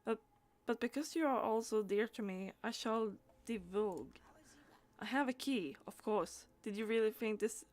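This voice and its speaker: A woman's voice: on the high side, a little slow, a little mellow. A woman streaming a game, reading dialogue aloud and chatting as she plays, with stumbles and repeated words.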